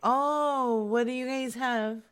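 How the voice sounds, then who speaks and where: A woman's voice: high-pitched, steady tone, slow, noisy background. A woman making prank calls in casual, crude, teasing banter. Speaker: prank caller.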